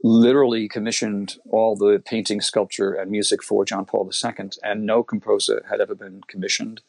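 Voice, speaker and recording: A man's voice: lowish voice, little pitch movement, fairly quick, gravelly, darkish tone, dry recording. A middle-aged man whose first language is Italian, telling his career story in an interview, rambling and restarting his words often. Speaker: composer and guitarist guest.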